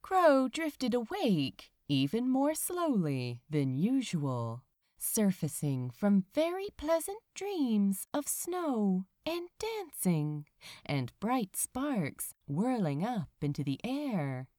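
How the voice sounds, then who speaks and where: A woman narrates fiction aloud in an expressive audiobook style. Her pitch rises and falls dramatically across phrases, and she reads at a slow, measured pace. The sound is dry, with hardly any room. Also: dry recording. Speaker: podfic reader, narrator.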